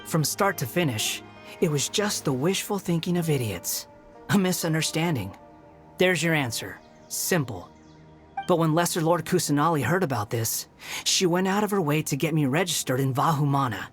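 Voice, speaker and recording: A man's voice: on the high side, expressive, moderate pace, soft background music, lightly acted. An actor playing an aloof, sardonic young man who mocks and dodges questions, in video game dialogue. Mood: dismissive. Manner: mocking, dismissive, evasive.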